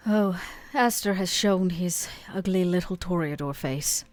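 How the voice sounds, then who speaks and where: A woman playing a haughty, world-weary vampire club owner in a tabletop game, speaking in a measured, dry way. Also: lowish voice, lightly acted. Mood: weary, bitter.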